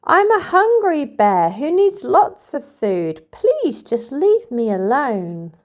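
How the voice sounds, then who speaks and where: Woman playing a high-voiced greedy storybook bear. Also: huge pitch swings, takes it slowly, heavily acted. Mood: stubborn, grumpy. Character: big greedy male bear, hungry and stubborn.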